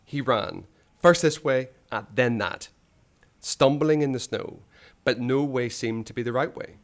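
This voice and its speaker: A man reading a children's adventure story aloud in a dramatic, suspenseful way, with hushed phrase endings. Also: mid-range voice, measured pace. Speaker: storybook reader.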